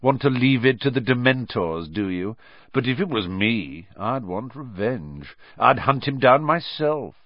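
A man voicing a sly, malicious schoolboy bully who speaks quietly and slowly with a sneer. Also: medium pitch, animated, dry recording, dull audio, pitched above the performer's own voice.

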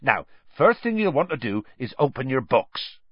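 A huge rough gamekeeper bellows at his class in a raised, lively voice, as a man puts him on. He is hearty and calls out loudly, and he trips over his words when flustered. The recording is dull and dry, with no room around it. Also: mid-range voice, moderate pace, no echo, voice pushed up. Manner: loud hearty calling, stammers when flustered.

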